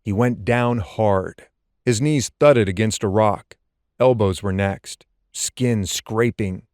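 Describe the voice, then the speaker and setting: A man narrating suspense fiction as an audiobook, in a tense, deliberate and dramatic storytelling style. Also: lowish voice, darkish tone, no room sound, studio quality.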